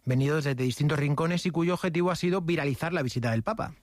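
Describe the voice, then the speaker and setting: A radio contributor, a man with a slightly high voice, who rattles through his segment quickly and playfully. The studio sound is close and dry. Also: dry recording. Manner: brisk, playful.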